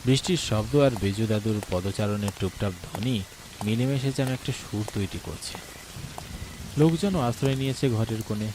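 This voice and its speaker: A man delivering scripted narration as the storyteller of an animated horror tale. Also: on the low side, normal speed, noisy background. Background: music.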